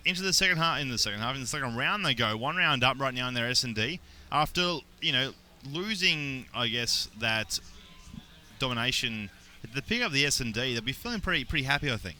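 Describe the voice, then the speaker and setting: A young adult man with an Australian accent doing play-by-play esports commentary, getting excited on big plays. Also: medium pitch, fairly quick. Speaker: esports caster.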